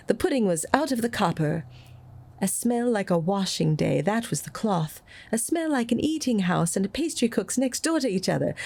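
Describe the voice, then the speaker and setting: A woman reading a classic story aloud as an audiobook, with an expressive, dramatic delivery. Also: medium pitch. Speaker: audiobook reader. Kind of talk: expressive scripted storybook narration.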